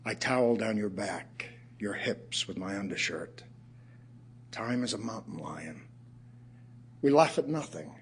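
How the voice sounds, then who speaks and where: An actor playing an ailing middle-aged writer, reciting his poems reflectively in an audio theatre piece, with a husky voice and emphatic pauses. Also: on the low side, expressive, slight background noise. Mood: tender, sensual. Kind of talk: dramatic audio theatre poetry reading.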